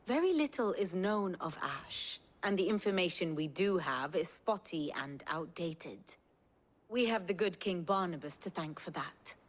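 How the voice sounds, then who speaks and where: An actress playing an imperious, dry-witted scholar of war in a video game, lecturing in a British accent. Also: female voice, fairly low pitch. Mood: sardonic. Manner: exacting, sardonic, lecturing. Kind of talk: video game cutscene acting, measured exposition. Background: prominent music.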